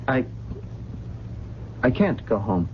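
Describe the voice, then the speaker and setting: An actor playing a lost, polite, well-bred gentleman, speaking hesitantly and earnestly in a 1970s radio drama. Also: mid-range voice, big pitch swings, takes it slowly. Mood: earnest, pleading. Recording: muffled, faintly noisy vintage radio recording.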